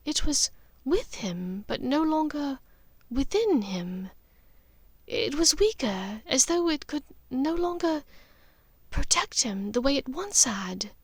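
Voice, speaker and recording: A woman voicing a haunted, gently resolute witch mother in an audiobook, speaking earnestly and haltingly with care. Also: high voice, no echo, voice pushed up, heavily acted. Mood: wistful, wondering. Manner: halting, vehement, careful. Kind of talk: audiobook character dialogue.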